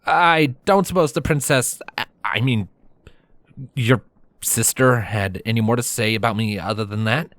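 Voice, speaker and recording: A man's voice: fairly low pitch, very animated, moderate pace, fairly bright tone, no echo. A man playing an awkward, flustered, self-deprecating male hero who speaks hesitantly and stammers, in a fantasy story.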